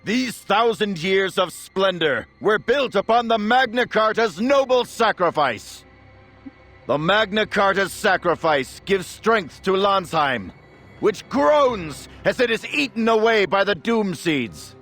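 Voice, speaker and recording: A man playing a grandiose, scheming nobleman in a fantasy game, giving a measured, theatrical speech. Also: high voice, animated, a bit bright, soft background music, heavily acted. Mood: solemn, grandiose. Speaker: villain orator in a fantasy game.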